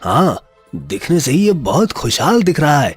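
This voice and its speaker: A man voicing a humble, anxious village man in a cartoon moral story, pleading deferentially in a husky voice. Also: fairly high pitch, sing-song, normal speed, no echo, faint music behind, exaggerated voice.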